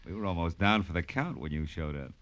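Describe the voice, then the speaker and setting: A man's voice: deep voice, animated, brisk, fairly bright tone. A man playing a wry, world-weary American private detective in 1940s radio noir style, narrating in tough slang. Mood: breezy banter. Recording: vintage radio recording with music.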